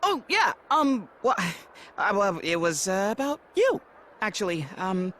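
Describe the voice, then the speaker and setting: A teenage boy blacksmith in a game scene. He is sincere and protective, with a very expressive, swinging pitch and a touch of hesitancy. Faint noise sits behind the voice. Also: exaggerated voice. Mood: nervous, halting. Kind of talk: video game voice acting.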